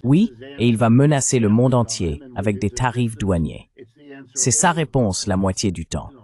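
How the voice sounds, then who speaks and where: Ai guy voice doing dubbed political commentary, kinda low, explains things with rhetorical flourishes. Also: very animated, normal speed, darkish tone, slightly rough. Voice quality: synthetic AI voice. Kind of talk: translated voice-over of a panel discussion.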